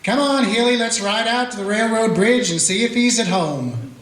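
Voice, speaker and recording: Frontier sheriff, played by a man, calm folksy narrator, high-ish voice, roomy echo. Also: normal speed, soft background music. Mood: steady. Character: small-town Old West sheriff, steady and dry. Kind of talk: radio play acting. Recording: echoey room.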